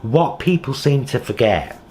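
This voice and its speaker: A man's voice: medium pitch, very animated, a little slow, darkish tone, slight background noise. A British man talking in a heartfelt, reflective vlog monologue from his home kitchen. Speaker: vlogger.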